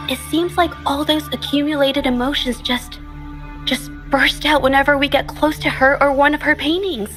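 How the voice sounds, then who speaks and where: A gentle, shy young woman painter from a video game. She speaks softly and hesitantly, trailing off mid-thought, in a fairly high voice. Game music plays behind her. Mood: self-blaming. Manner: soft-spoken, hesitant, trailing off.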